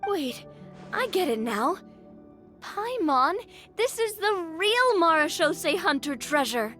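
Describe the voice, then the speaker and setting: An eager young adventurer girl in a video game. The voice is extremely high and lilting, taking its time with bubbly curiosity. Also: big pitch swings, slow pace, a bit bright, faint music behind, exaggerated voice. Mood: realizing.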